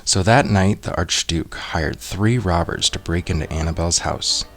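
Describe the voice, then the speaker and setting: A man reading a children's picture book aloud in an expressive storytelling style, acting out the characters. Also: deep voice, normal speed. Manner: expressive, acts out character voices.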